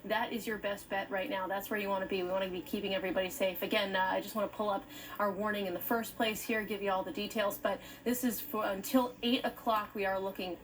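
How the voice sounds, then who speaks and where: A woman's voice: medium pitch, steady tone, fast, a little hiss or hum, rough recording. A woman with an American accent presenting live breaking weather coverage as a forecaster, informal but insistent with safety instructions.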